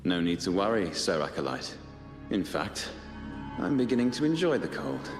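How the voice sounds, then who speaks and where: A man voicing a weary, composed, condemned poet in a video game, polite and wry with quiet defiance. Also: deep voice, sing-song, normal speed. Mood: dry defiance. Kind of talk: scripted video game dialogue. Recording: echoey long reverb, music behind.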